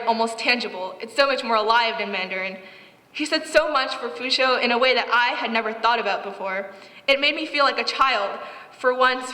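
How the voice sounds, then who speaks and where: A woman's voice: a little high, bright, forceful tone. A teenage girl reading her own prose aloud at a live reading, earnest and reflective with wry asides. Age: teens. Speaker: student writer reading her own story. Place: event hall. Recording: echoey hall with long reverb.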